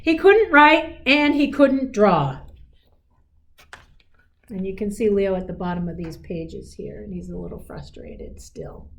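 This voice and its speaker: A woman reading a children's picture book in an expressive, encouraging manner, with chatty asides to young listeners. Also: medium pitch, a little slow, gravelly. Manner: encouraging, explains pictures and asks listeners questions; expressive, emphatic storybook delivery. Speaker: school superintendent reading to children.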